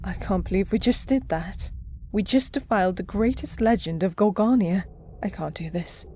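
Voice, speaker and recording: A woman's voice: mid-range voice, normal speed, warm tone, a little hiss or hum, close to an everyday voice. A woman playing an anxious, loyal alien rebel companion in an audio drama, speaking in an earnest, emotional way. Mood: distressed.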